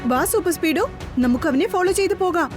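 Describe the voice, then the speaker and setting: Brief: plucky boy hero, quick and bossy, with music under it. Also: very high voice. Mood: confident. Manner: quick, confident, gives orders. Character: brave young boy superhero, leader of the team. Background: cartoon music.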